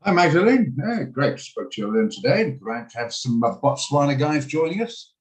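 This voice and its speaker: A man's voice: on the high side, sing-song, normal speed. Guy running a trading room on a video call, rambly, lots of ums and uhs, pokes fun at himself. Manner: rambling, self-deprecating, many fillers; greets attendees by name. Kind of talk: casual live online trading session.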